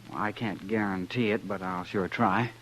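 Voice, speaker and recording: Western outlaw: deep, guarded, deliberate delivery, period radio sound with faint noise. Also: male voice, fairly even intonation, fairly bright tone. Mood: guarded, evasive. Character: cool, cagey male outlaw prisoner in a western. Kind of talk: 1950s radio drama acting. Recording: humming, noisy 1950s radio recording.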